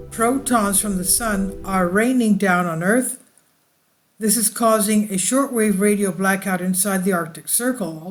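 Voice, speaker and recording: A host reading space weather news aloud in a halting way, stumbling over some words. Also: medium pitch. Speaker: video channel host.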